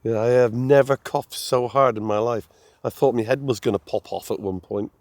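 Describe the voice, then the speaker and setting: Slightly hoarse chatty English man. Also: medium pitch, fairly even intonation, normal speed, a little mellow, no echo. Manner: chatty, friendly, laughs easily. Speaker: vlogger. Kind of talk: casual outdoor chat. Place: outdoors at a fishing lake.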